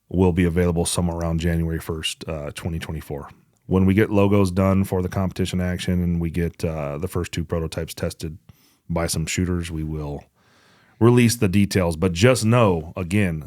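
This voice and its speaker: A man with a low voice hosts a casual gear podcast. He talks bluntly and jokes around with plenty of swearing. The sound is close and dry, with very little room in it. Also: darkish tone, dry recording. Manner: profane, blunt, joking. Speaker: podcast host. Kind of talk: casual chat about hunting gear.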